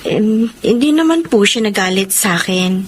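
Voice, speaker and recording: An actress playing a gentle, earnest young Filipino wife and dutiful daughter in a Tagalog radio drama. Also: female voice, medium pitch, expressive, normal speed, lightly acted. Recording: faint background noise.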